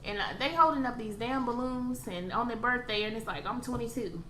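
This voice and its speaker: A woman's voice: a little high, brisk, bright, forceful tone. A young adult woman who is a podcast guest, speaking as a blunt, opinionated guest who swears casually. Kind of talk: casual chat. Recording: echoey room, faint ambient noise.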